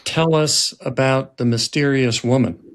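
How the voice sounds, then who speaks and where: A man's voice: mid-range voice, unhurried, a little hiss or hum. A man hosting a podcast, asking questions in a conversational interview style. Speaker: podcast host.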